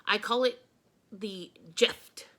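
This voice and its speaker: A woman voicing an eccentric female computer teacher, a proud inventor, in a storybook, with showy, deliberate delivery. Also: mid-range voice, bright, forceful tone.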